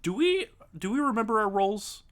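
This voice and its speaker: A man hosting a casual live stream with friends, animated and quick to laugh, sometimes reading haltingly. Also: high voice. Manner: animated, laughs often, reads aloud haltingly. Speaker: streamer and host.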